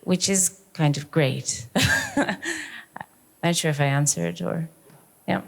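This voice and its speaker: A woman's voice: sits low, slow, gravelly. A woman and festival curator answering on a public talk panel, thoughtful and searching for her words. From South Africa.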